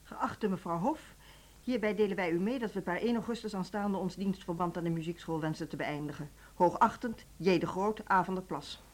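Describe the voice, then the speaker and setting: Actress as dry, low-voiced music school head. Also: female voice, brisk, a little hiss or hum, natural voice. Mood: reading formally. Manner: dry, matter-of-fact. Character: dry, brisk, decisive woman running a music school. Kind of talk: Dutch radio drama acting.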